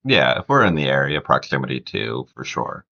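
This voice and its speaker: Man, extremely low pitch, lively inflection, laconic casual replies, slightly dull lo-fi sound. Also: fairly quick, vocal fry. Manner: laconic, clipped short answers. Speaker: podcast guest. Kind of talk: casual chat.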